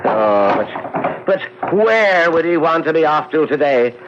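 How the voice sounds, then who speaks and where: A man's voice: on the high side, very animated, measured pace, a bit bright. An actor playing a flustered, exasperated Irish countryman in a radio drama, folksy and full of exclamations. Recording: noisy dull vintage radio recording.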